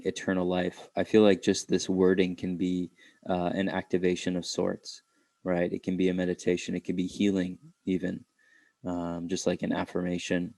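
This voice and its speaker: A man leading an unscripted guided meditation, speaking reflectively in halting phrases with pauses. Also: low-pitched, vocal fry, darkish tone, no echo.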